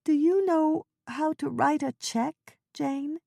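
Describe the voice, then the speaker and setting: A woman's voice: high-pitched, slow pace, gravelly, dark tone, dry recording, studio quality, voice pushed up, heavily acted. A woman voicing a fretful, naive woman in her seventies who talks in anxious, flustered bursts in a storybook reading. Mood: distraught. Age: 70s.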